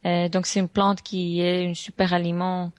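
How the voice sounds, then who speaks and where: Woman, twenties, flat low voice, halting with restarts, dry sound. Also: no room sound. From Honduras. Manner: halting, many restarts and fillers. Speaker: guest entrepreneur. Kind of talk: interview answers.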